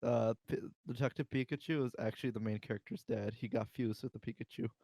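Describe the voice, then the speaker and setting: A man's voice: mid-range voice, fairly even intonation, quick pace, mellow, dry recording. A young American man hosting a podcast with casual, slangy banter among friends. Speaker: podcast host.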